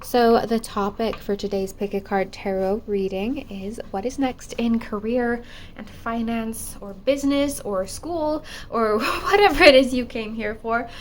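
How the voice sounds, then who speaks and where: A woman's voice: a little high, measured pace, slight background noise. A woman giving a pick-a-card tarot reading, her voice still affected by a cold, musing hesitantly with many fillers and restarts. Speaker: tarot reader.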